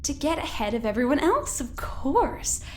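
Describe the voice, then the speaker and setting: A woman's voice: high-pitched, sing-song, normal speed, darkish tone. A woman playing a polite but calculating scientist in an audio drama, with a genteel, formal, mock-innocent manner. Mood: smug, coy. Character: polite, smug, calculating woman scientist, needling and faux-sweet.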